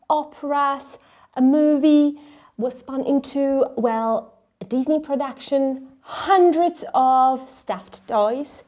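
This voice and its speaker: A middle-aged woman hosting a children's read-along, telling stories in an expressive, dramatic way that sometimes drops into a hush. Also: high-pitched. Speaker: host of a children's read-along and craft show. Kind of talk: animated storytelling for children.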